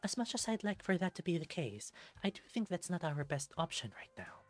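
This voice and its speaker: A man voicing a precise, commanding leader of a superhero team in a visual novel, speaking in a formal, measured, authoritative way. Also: high-pitched, very animated, faint music behind, voice pushed up. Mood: measured, firm.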